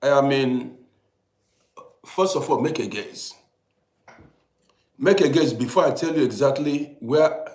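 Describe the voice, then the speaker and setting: A man's voice: medium pitch, animated, a little slow, long pauses, darkish tone, echoey room. A man from Africa, hosting a casual livestream, chatting and greeting viewers by name, repeating himself as he talks. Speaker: livestream host. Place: lives in Europe.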